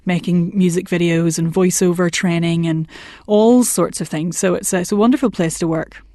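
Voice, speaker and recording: A woman working as a voice and accent coach, talking in a chatty, animated way in a podcast interview. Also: lowish voice, normal speed, no room sound, studio quality. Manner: chatty and animated, laughs often, puts on voices for anecdotes. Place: work commute between Manchester and Liverpool.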